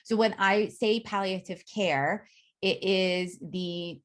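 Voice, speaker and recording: A middle-aged woman gives an academic faculty talk in a measured way, reading prepared remarks with frequent ums. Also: mid-range voice, fairly even intonation. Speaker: academic speaker.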